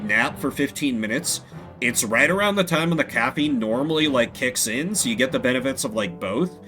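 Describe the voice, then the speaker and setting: A man's voice: medium pitch, sharp tone. A man talking casually as a game streamer, rambling and often interrupting himself. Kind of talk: casual livestream chat and commentary.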